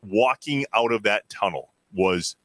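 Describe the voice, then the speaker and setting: A man's voice: fairly low pitch, expressive, unhurried, fairly bright tone, no echo. An American man co-hosting a casual sports talk show, telling stories with enthusiasm and emphasis.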